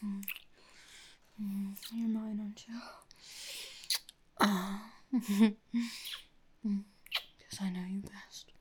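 A young woman speaking in an intimate audio roleplay, addressed to the listener, in a breathy, murmuring whisper. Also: medium pitch, level tone, extremely slow, pauses often, darkish tone.

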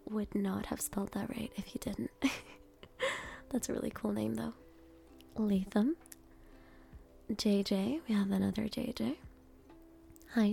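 A woman hosting ASMR, speaking softly and gently, often in a breathy whisper, deliberately repeating words as she reads out and greets. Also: medium pitch. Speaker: ASMR host. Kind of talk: ASMR, reading out names and greeting each.

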